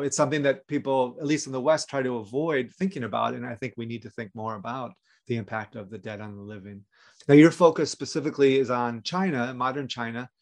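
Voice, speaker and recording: A man hosting a podcast, speaking in the style of a prepared interview with introductions and questions. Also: mid-range voice.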